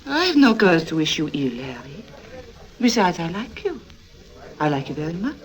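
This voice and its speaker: An actress playing a cool, scheming, seductive woman with coy, deliberate phrasing in a 1950s radio drama. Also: fairly low pitch, big pitch swings. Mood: coy, soothing. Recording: vintage 1950s radio recording with music.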